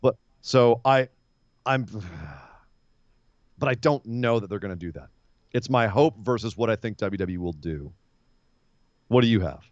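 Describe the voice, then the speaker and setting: A slightly hoarse man co-hosting a casual podcast, talking in an animated, opinionated way and laughing easily. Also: fairly low pitch, a little slow, pauses often, no room sound. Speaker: podcast co-host. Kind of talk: casual wrestling podcast chat. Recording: muffled, dry remote podcast audio.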